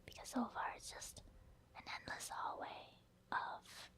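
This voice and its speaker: A woman doing soft, whispered ASMR commentary over gameplay, chatting informally to her viewers. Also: a little slow. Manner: informal, conversational, addressing viewers. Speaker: ASMR gaming creator.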